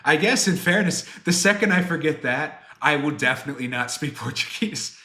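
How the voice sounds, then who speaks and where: A bright, forward male voice in his twenties with a roomy echo around it. He talks casually to livestream viewers as a game developer, rambling through answers and poking fun at himself. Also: on the high side, animated, normal speed. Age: 20s. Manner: rambling, self-deprecating.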